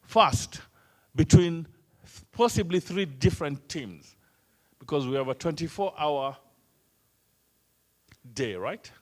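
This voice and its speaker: A man's voice: a little high, lively intonation, slow pace, a little mellow. Kenyan man quizzing a workshop room. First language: Swahili. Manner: teasing, asks the room many questions. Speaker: workshop trainer. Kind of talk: interactive training session.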